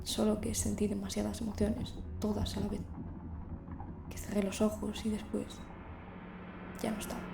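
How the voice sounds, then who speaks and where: A woman's voice: mid-range voice, flat delivery, normal speed, gravelly, long pauses, reverberant, background noise. A woman playing a lonely, fearful teenage girl in an audio drama, with an introspective, confiding manner. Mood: awed, uneasy. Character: lonely, fearful teenage girl narrating her secret visions. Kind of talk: first-person audio drama narration and dialogue.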